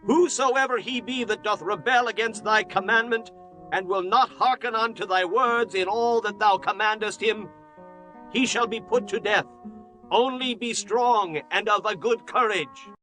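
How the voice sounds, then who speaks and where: An actor playing a fervently loyal Israelite follower, declaiming emphatically in a dramatized scripture reading. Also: male voice, high voice, a little slow, bright, forceful tone, faint music behind, rough recording.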